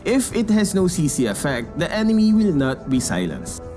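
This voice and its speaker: A man's voice: fairly high pitch, huge pitch swings, moderate pace, over music. A man reading a scripted explainer of game patch notes as the narrator.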